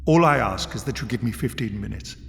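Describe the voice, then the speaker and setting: A man voicing an eccentric, courteous British adventurer in an audiobook, breezy, wry and gently persuasive. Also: fairly low pitch, lively intonation, fairly quick, gravelly, in a large hall, slight background noise. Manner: breezy, polite, wry British turns of phrase. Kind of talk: dramatised audiobook reading.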